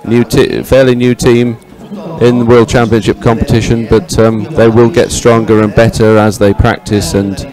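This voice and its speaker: A man giving measured, explanatory live sports commentary on a race. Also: mid-range voice, flat delivery, crowd noise.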